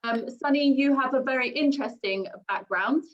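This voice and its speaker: A woman's voice: sits high, normal speed, lo-fi sound. A woman hosting a school information session on a video call, polite and upbeat, with frequent ums and thank-yous. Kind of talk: friendly moderating on a video call.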